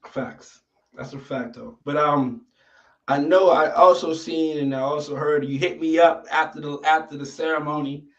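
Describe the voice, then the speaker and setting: Host, male, late thirties, rambling street-casual delivery, slightly high, dull sound, a bit off-mic. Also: steady tone, normal speed, fairly bright tone, recorded from a distance. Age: 30s. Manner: loose, rambling, lots of you know what I'm saying. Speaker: podcast host. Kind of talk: casual conversation.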